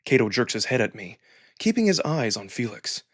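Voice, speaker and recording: A man with a husky voice reading first-person fiction aloud as a dramatic narrator. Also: medium pitch, big pitch swings, normal speed, a bit bright, dry recording, clean studio sound. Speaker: narrator and performer of a visual novel reading. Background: background music.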